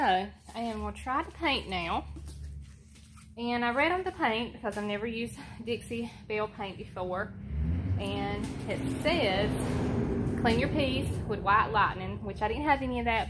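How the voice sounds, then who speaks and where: A soft-spoken woman with a Southern US accent, talking low and hesitantly with many ums as she shows a home project alone in front of her phone camera. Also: noisy background. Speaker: homestead vlogger. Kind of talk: casual how-to vlog.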